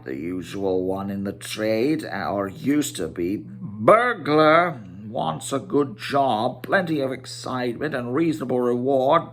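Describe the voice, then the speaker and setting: A man's voice: medium pitch, fairly bright tone. A man voicing a blunt, businesslike male dwarf from a fantasy storybook, brusque and matter-of-fact.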